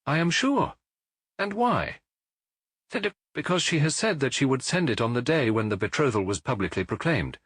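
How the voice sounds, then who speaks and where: A man's voice: mid-range voice, lively intonation, moderate pace, fairly bright tone, breathy edge, no echo, clean studio sound, voice pushed up. A man voicing a proud, anxious foreign king in a storybook, speaking with grand emphasis. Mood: anxious, emphatic. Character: imperious, anxious Bohemian king, intense and proud.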